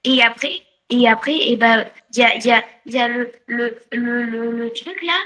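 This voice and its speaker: High, bright, halting female gamer. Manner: halting, defensive, rambling. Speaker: new online roleplay game player. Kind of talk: casual gaming voice chat. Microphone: online voice chat microphone.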